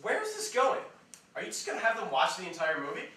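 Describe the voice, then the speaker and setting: A man's voice: high-pitched, wildly swooping pitch, fast talker, sharp tone, heavily acted. A man on stage playing a testy, sarcastic writer in a theatrical reading. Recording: distant echoey stage recording, faint noise.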